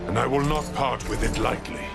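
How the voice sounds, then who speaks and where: An actor playing an ancient, brooding angel archon in a fantasy game, pompous and theatrical, with a creaky, deliberate delivery. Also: male voice, mid-range voice, steady tone, lightly acted. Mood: accusing, possessive. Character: lofty, brooding male angel archon, ancient keeper of a key. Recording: loud music under the voice.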